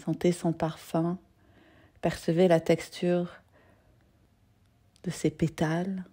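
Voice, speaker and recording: A woman yoga nidra teacher leading a guided meditation in a gentle, soothing, soft-spoken manner with long pauses. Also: on the low side, flat delivery, slightly rough.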